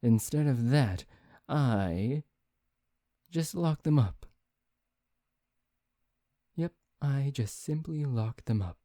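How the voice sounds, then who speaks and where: A man playing a brooding, taunting vampire in an ASMR roleplay, speaking in a theatrical, deliberate, teasing way. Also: mid-range voice, warm tone, dry recording, clean studio sound, lightly acted. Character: brooding, taunting male vampire bent on revenge. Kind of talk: ASMR roleplay, soft dramatic acting.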